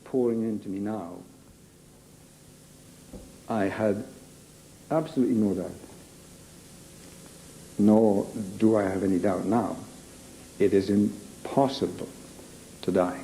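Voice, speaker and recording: Very dark-voiced man, slow and halting. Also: lowish voice, fairly even intonation, slight background noise, poor audio. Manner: halting, emphatic, repeats phrases for weight. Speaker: interviewee giving a personal account. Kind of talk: unscripted personal testimony.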